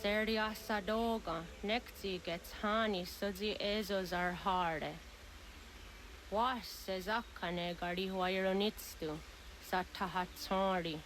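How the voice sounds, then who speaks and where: A woman's voice: mid-range voice, steady tone, slow, a bit bright, slight background noise, low-quality recording. An actress playing a solemn woman in a historical adventure video game. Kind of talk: dramatic video game voice acting. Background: music.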